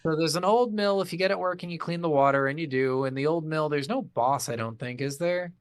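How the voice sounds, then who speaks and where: A man's voice: on the high side, brisk, clean tone, no echo, studio quality. A man co-hosting a game podcast, chatting casually and bluntly with plenty of swearing and strong opinions. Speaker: podcast co-host reviewing video games. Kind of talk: casual unscripted chat recapping a game.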